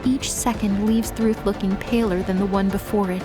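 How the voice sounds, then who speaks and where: A woman's voice: mid-range voice, steady tone, normal speed. Woman narrating a drama over music.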